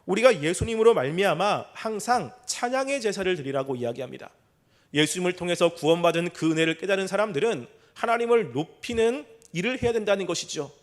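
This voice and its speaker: A male preacher with a notably high-pitched voice for a man. He delivers an expository sermon in a measured way, explaining each point in formal, polite phrasing. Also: lively intonation.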